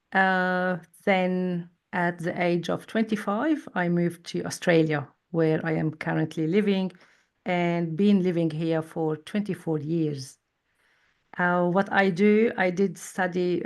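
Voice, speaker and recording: A woman in her forties, a psychologist guest speaking in a measured, thoughtful way in an interview. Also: on the low side, a little mellow. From Lebanon. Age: 40s. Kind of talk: interview conversation.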